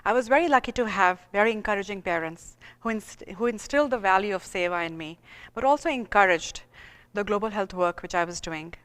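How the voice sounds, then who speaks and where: A woman delivering a formal oration with earnest, measured phrasing. Her voice is smooth and resonant, mid-pitched. A long hall reverb trails behind her words. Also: a bit bright. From India. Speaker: radiologist giving an oration. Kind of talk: formal lecture.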